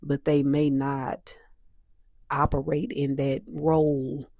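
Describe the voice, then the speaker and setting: Low-voiced woman, slightly stuffy. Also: little pitch movement, vocal fry, mellow, no echo, clean studio sound. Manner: measured, repeats and restates points, many fillers. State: stuffy from a summer cold. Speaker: host. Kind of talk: solo commentary talk.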